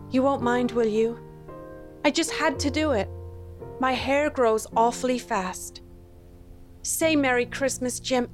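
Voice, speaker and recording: A woman's voice: high voice, voice pushed up. A woman voicing an anxious, loving young wife who speaks in an eager, pleading way.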